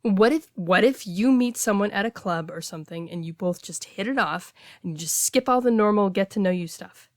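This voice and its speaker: A woman playing a casual, blunt heartbreaker friend in an intimate audio roleplay, talking conversationally with hesitant, expressive delivery. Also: mid-range voice, moderate pace, hardly any pauses, no room sound, clean studio sound, lightly acted. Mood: defensive, teasing. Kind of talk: intimate one-sided audio roleplay, naturalistic dialogue.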